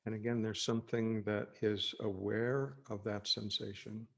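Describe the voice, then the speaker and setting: Low, gravelly man guiding meditation. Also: level tone. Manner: gentle, halting, reassuring delivery with pauses. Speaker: meditation teacher. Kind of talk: guided meditation, unscripted spoken instruction.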